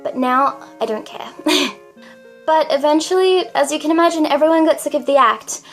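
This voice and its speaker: Teen girl vlogger, very high girlish voice, dramatic and self-mocking, faint music underneath. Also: normal speed. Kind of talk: confessional vlog monologue.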